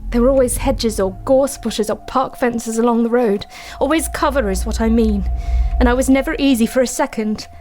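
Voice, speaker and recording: An actress playing a desperate, haunted woman confessing a supernatural dread in an audio drama, distraught and halting. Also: fairly high pitch, a little mellow, soft background music, close to an everyday voice. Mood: haunted, uneasy.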